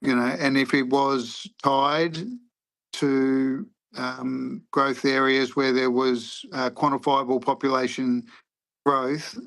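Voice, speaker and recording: Australian man chairing a committee hearing, slow unhurried delivery, flat even tone, halting, lots of "um" and "uh". He speaks in an even, level way and takes his time over each question. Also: medium pitch, low-quality recording. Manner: halting, with many fillers.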